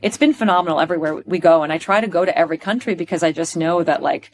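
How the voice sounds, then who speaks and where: Gushing, animated American woman guest. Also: fairly low pitch, very fast. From Pennsylvania, US. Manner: animated, gushing, many restarts and fillers. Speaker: podcast guest, stage performer. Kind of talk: casual interview chat.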